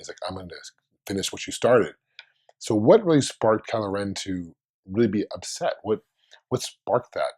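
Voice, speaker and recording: A man with a hoarse voice from being under the weather, hosting his own fan video and thinking aloud in a rambling, casual way with many restarts. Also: on the low side, a little mellow.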